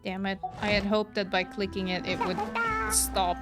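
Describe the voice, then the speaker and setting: A woman's voice: mid-range voice, level tone, normal speed. A young woman livestreaming a game, reacting and chatting casually as she plays. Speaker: streamer playing a game. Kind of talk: casual live gameplay reaction chat. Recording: game music behind the voice. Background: game music.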